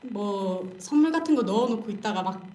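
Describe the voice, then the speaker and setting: A woman's voice: medium pitch, expressive, brisk, warm tone, roomy sound. A young woman chatting and joking on a livestream, often laughing as she tells stories. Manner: giggly, joking, rambling. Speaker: idol group member on a livestream. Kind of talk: casual livestream chat.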